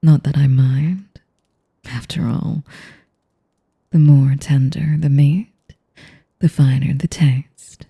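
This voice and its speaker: Woman playing a dark, breathy, sadistic vampire lady. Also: very deep voice, steady tone, full character voice. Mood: smug, condescending. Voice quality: breathy, whispery. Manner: slow, teasing, mock-polite, refined. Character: sadistic, elegant, manipulative female vampire noble. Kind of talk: intimate audio roleplay, ASMR-like.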